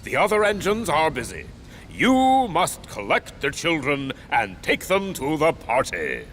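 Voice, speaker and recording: A man playing a bossy older railway controller, a grandfather, in a children's cartoon, with a brisk, commanding manner. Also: fairly high pitch, wildly swooping pitch, fairly bright tone, slight background noise, exaggerated voice. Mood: stern. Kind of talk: children's cartoon character acting.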